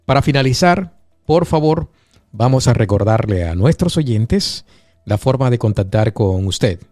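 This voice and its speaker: A man's voice: medium pitch, lively intonation, measured pace, a little mellow, soft background music. A man hosting a radio show, politely asking a lawyer clarifying questions. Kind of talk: interviewing a guest.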